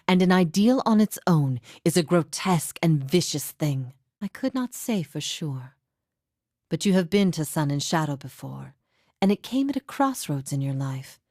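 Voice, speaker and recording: A woman voicing the solemn, forgiving spirit of a martyred heretic in a fantasy game, with measured, formal delivery and breath at the ends of phrases. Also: deep voice, dark tone, no echo, clean studio sound. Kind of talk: scripted fantasy game dialogue.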